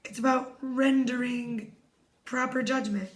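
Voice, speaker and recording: Hoarse lady, kinda high voice, soft whispery self-help talk, echoey room. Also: fairly even intonation, unhurried. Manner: soft and meditative, often drops to a whisper. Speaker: wellness coach.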